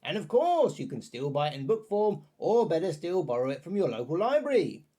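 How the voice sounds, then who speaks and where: A man's voice: a little high, big pitch swings, a little fast, warm tone. A man presenting a scripted review in a chatty, tongue-in-cheek manner with playful asides.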